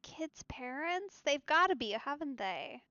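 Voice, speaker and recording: A woman's voice: sits high, very animated, unhurried, sharp tone, no room sound, highs cut off. A woman giving casual let's play commentary, thinking aloud as she goes.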